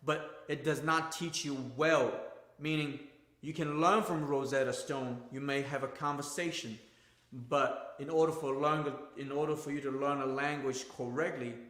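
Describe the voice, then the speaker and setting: A man's voice: a little high, fairly even intonation, normal speed, fairly bright tone, reverberant. A male golf instructor, not a native English speaker, giving an emphatic, repetitive livestream talk in plain, non-native grammar.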